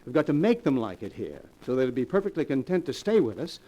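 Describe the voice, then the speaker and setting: A man's voice: mid-range voice, very animated, fast talker, warm tone. A man playing a scheming nephew, urgent and conspiratorial, in 1940s radio acting style. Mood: anxious scheming. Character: scheming nephew plotting against his old aunts. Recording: noisy vintage 1940s radio recording.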